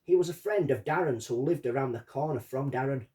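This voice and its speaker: A man reading out a witness statement and commenting on it as a true-crime host, in deliberate short phrases. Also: medium pitch, dark tone. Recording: distant from the microphone.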